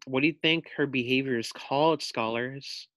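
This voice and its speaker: A man reading a children's picture book aloud as a teacher, expressively, pausing to put questions to his young listeners. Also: medium pitch, a bit bright, dry recording.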